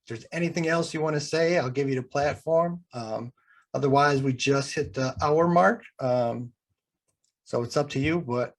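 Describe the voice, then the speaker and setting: A man's voice: medium pitch, moderate pace. A man in his forties with an American accent hosts a casual podcast conversation. He speaks informally and appreciatively, in an agreeable way. The delivery is plain, unscripted chat. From United States. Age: 40s. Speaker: podcast host.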